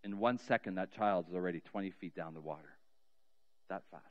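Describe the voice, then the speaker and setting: A middle-aged man preaching as a pastor in a church sanctuary, telling a story earnestly and slowing down with soft, trailing endings. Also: sits low, highs cut off. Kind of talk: sermon storytelling and spoken prayer. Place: large church sanctuary, indoors. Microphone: cheek headset mic.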